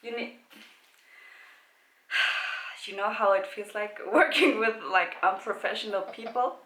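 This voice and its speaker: A woman's voice: mid-range voice, a little slow, sharp tone, roomy sound, rough recording. A woman in her twenties from Germany, hosting her own travel vlog in casual, giggly chat with lots of fillers. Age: 20s. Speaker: vlogger, channel host.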